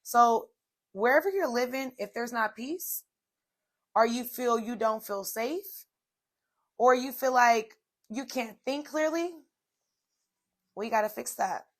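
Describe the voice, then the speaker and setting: Slightly hoarse, chatty woman host. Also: fairly high pitch, takes it slowly, long pauses. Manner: chatty, direct to viewers, repeats "okay" and "y'all". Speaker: podcast host. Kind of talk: inspirational solo talk. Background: soft music playing.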